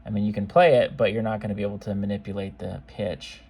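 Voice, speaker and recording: A man's voice: on the low side, steady tone, fast talker, darkish tone, breathy edge. Casual man demonstrating a product. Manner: informal, offhand, conversational. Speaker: seller demonstrating modified cassette players.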